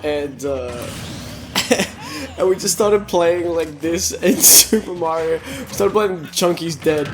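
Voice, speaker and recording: A man's voice: on the high side, slow pace, noisy background. A young man co-hosting a gaming channel, chatting casually, giggling and trailing off into mumbles. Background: video game music.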